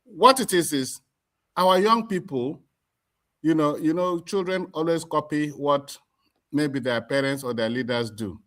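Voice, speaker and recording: A middle-aged Nigerian man with a Nigerian accent, speaking as an interview guest in a deliberate, emphatic way, repeating words as he forms his points. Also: on the high side, a bit bright. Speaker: community association chairman, interview guest. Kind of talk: explanatory interview talk.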